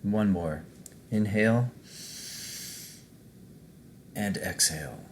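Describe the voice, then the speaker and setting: Slow, deep-voiced man guiding a meditation. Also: a little hiss or hum. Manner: measured, pausing between short phrases. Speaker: guided meditation host. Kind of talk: slow guided meditation narration.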